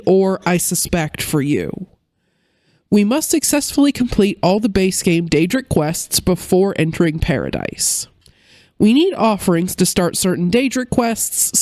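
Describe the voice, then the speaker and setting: A woman giving a steady, explanatory gameplay narration as a gaming video creator, her voice a little off while she recovers from a cold. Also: lowish voice, no room sound, clean studio sound. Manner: steady, explanatory rule rundown. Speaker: gaming YouTuber.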